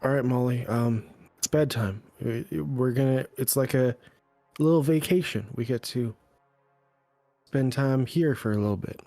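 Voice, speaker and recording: A man narrating as game master in a halting, hesitant way. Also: medium pitch, mellow, no echo, faint music behind. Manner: halting, full of ums and uhs.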